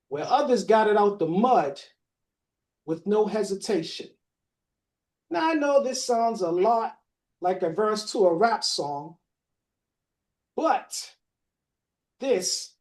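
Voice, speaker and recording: Slow rhythmic podcast host. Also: male voice, sits high, a little mellow. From Boston. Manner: slow, rhythmic spoken-word delivery. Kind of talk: rhymed spoken intro then hosting a panel.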